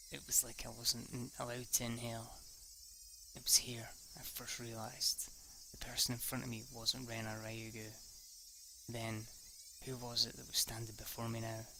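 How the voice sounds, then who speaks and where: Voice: mid-range voice, sharp tone, slight background noise, low-quality recording. A person reading a visual novel aloud as a dramatic narration, sometimes stumbling over a line and rereading it. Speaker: let's play narrator.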